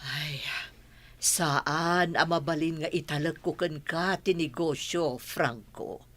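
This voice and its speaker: A woman's voice: low voice, takes it slowly, a bit bright, heavily acted. A woman acting as a middle-aged business-owning mother in an Ilocano radio drama, with an Ilocano accent. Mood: stern, scolding.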